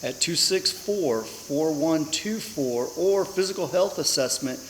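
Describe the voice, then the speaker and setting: A man's voice: on the high side, animated, measured pace, a bit bright, big-room sound, slight background noise, low-quality recording. A man co-hosting a military base show, reading announcements with upbeat, joking asides. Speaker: senior enlisted co-host.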